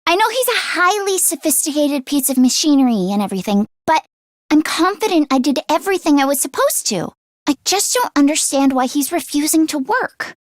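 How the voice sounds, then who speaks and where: A woman voicing an earnest, squeamish teenage schoolgirl mechanic in an anime game, expressive and quick to exclaim. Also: sits high, dry recording, clean studio sound. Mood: earnest, explaining.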